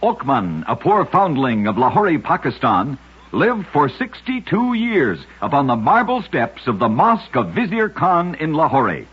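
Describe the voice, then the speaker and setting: Guy telling short radio tales, really husky voice, dramatic and measured, dull old radio sound. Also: a little high, big pitch swings. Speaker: radio show narrator. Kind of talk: scripted radio storytelling narration of short oddities. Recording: muffled vintage-style radio recording.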